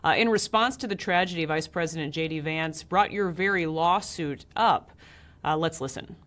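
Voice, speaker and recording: An American woman hosting a news interview in a measured, serious way. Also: deep voice, fairly bright tone. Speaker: interviewer, host.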